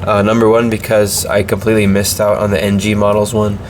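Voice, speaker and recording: A man's voice: on the low side, level tone, fairly quick, a little hiss or hum. A man with a slight cold reviewing a model airplane in a casual, hesitant way, with many ums and restarts.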